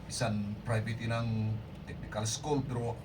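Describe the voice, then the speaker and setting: Patient father, played by a man, a little hesitant, amateur radio drama, distant mic with clear noise. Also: medium pitch, flat delivery, normal speed, natural voice. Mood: measured, yielding. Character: patient, hesitant father talking to his child.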